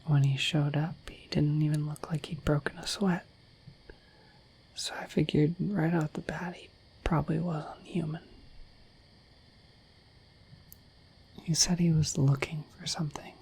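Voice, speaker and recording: A woman voicing a shy, friendly dragon in a breathy whispered ASMR roleplay, rambling gently and hesitantly to the listener. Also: sits low, fairly even intonation, normal speed, a little hiss or hum, poor audio. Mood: gentle, chatty. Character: shy, friendly, dorky dragon in humanoid form.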